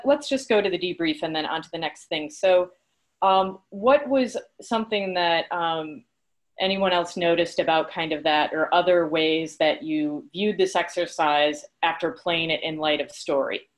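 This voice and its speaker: A woman in her thirties co-hosts an online workshop from a small room at home over her laptop. Her voice sits slightly low. She talks in a lively, upbeat way, with plenty of fillers. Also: moderate pace. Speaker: workshop co-host. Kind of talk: facilitating an online session. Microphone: laptop webcam on video call.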